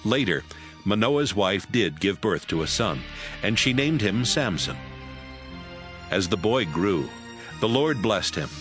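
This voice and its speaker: A man narrating a Bible story in a steady, storytelling read. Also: lowish voice, unhurried, long pauses, music in the background. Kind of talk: scripted scripture narration.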